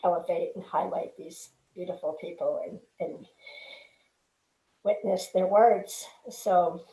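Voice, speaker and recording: A woman's voice: lowish voice, steady tone, slow pace, dark tone. A woman chatting casually as a participant in a group video call. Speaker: event participant. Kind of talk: casual chat. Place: echoey room on a video call. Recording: roomy, echoing video call audio.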